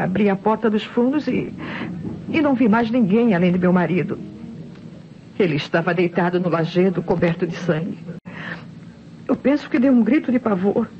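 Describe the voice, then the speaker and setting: An actress playing a distressed Brazilian widow and mother testifying in an old radio mystery, in a dramatic, halting manner. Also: medium pitch, natural voice. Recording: muffled, noisy old radio recording.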